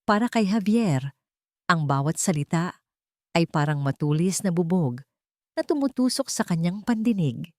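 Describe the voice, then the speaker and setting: Woman reading a dramatic love story, kinda low voice, dry close sound, measured suspenseful phrasing. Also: expressive, no room sound. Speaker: storyteller, narrator. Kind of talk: dramatic scripted romance story narration.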